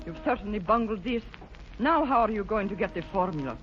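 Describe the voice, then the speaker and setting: An actress playing a sharp-tongued, impatient spy countess in a 1940s radio drama, scolding and sarcastic. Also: female voice, mid-range voice, animated, fairly quick, a little hiss or hum, close to an everyday voice. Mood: scornful. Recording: muffled old radio recording.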